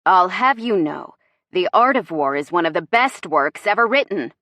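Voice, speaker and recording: A woman voicing a confident, brooding male suitor in a romance audiobook, in a gruff, hushed, serious murmur. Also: deep voice, no room sound, studio quality. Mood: teasing, low. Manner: murmuring, deadly serious, deliberate. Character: confident, intense man, seductive brooding suitor.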